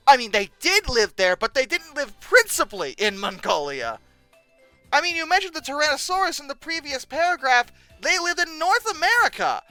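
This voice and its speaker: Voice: medium pitch, pushed and bright. A commentator reacting aloud in an incredulous, sarcastic, exasperated way, with emphatic delivery. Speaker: video host. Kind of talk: reacting commentary, reading old text aloud and mocking it.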